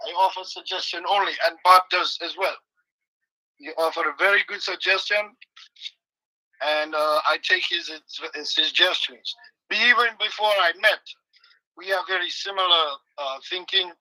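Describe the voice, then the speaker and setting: A man speaking in halting, broken English with deadpan humor, rambling as a guest co-host and then guiding a slow meditation. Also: a little high, poor audio. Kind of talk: rambling comic chat turning to guided meditation.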